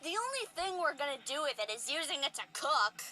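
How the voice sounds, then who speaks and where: A woman in a fan audio drama playing a brash, hot-headed female fish warrior, loud and stubborn. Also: high-pitched, big pitch swings, brisk, a little hiss or hum, heavily acted. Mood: cocky.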